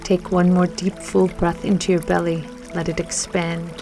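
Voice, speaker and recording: A woman leading a scripted guided meditation with affirmations in a gentle, soothing manner, leaving long pauses between phrases. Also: lowish voice, level tone. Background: music and trickling water.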